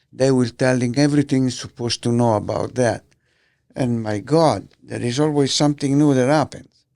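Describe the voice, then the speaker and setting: A man with an Italian accent hosting a podcast, reading the paper aloud in a halting way, slipping and rereading words. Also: mid-range voice, vocal fry, a little mellow, no room sound. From Italy. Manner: halting, slips and rereads words, many fillers. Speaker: podcast host. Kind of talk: casual solo podcast, reading newspapers aloud. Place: podcast studio. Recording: dry close studio sound.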